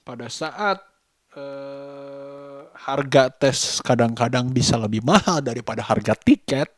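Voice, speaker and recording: A man from Indonesia talks casually to camera as a vlogger, musing and halting, drawing out some words. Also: medium pitch, no echo, studio quality. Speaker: vlogger, host of a daily vlog. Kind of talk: casual vlog monologue to camera.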